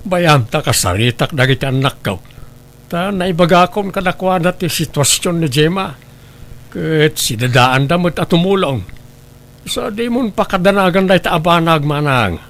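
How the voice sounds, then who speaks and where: A man's voice: on the high side, animated, moderate pace, fairly bright tone. A man playing a concerned, helpful family man in a radio drama, speaking earnestly. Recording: faint background noise.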